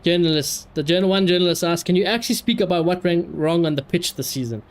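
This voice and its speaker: A man giving casual livestream commentary in an animated, slangy, sweary way. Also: a little high, fast. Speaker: live streamer.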